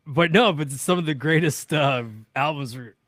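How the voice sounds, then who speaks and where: A man's voice: fairly high pitch, normal speed, a bit bright, no echo. A man co-hosting a studio podcast in a casual, slangy, wisecracking way. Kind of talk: casual chat.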